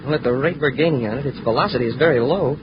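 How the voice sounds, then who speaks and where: An actor playing an eager, deferential young space cadet with a boyish, hesitant manner, in 1950s radio drama style. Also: male voice, mid-range voice, racing, lightly acted. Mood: alert. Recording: muffled, noisy vintage radio recording.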